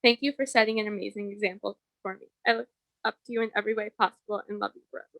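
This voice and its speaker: A woman's voice: medium pitch, little pitch movement, racing, fairly bright tone, dry recording. A young woman delivering a prepared, personal eulogy as a bereaved daughter.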